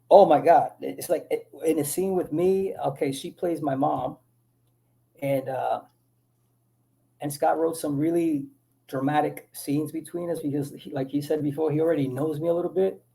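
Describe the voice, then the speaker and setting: A man from the Dominican Republic, an actor guest, telling animated stories in a casual interview with many restarts. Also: a little high, moderate pace, vocal fry, pauses often.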